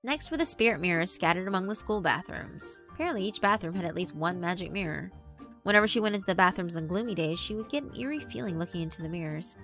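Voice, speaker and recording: A woman's voice: lowish voice, lively intonation, fast talker, fairly bright tone. A woman giving scripted narration for a visual novel, reading as a storyteller. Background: background music.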